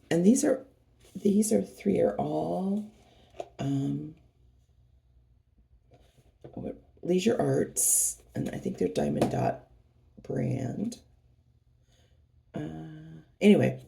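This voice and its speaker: A middle-aged woman with a hoarse voice from a cold, chatting casually and rambling as she unboxes craft kits for her channel. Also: low-pitched, mellow. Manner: rambling, chatty, many fillers and restarts.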